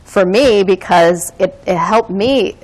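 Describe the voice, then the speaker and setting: A woman who trains dialogue facilitators, speaking in a measured, reflective way with frequent pauses. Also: mid-range voice, darkish tone, low-quality recording. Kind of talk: reflective conversational talk.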